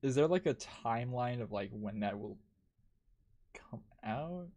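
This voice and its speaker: A man's voice: mid-range voice, expressive, unhurried, warm tone. A man chatting casually while gaming on a stream, rambling, swearing a lot and laughing often.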